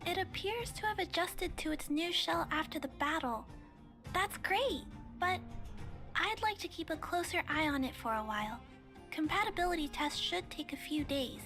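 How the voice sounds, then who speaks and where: A woman's voice: very high-pitched, expressive, normal speed, faint music behind, exaggerated voice. A shy polite young girl. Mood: earnest. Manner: soft, polite and earnest.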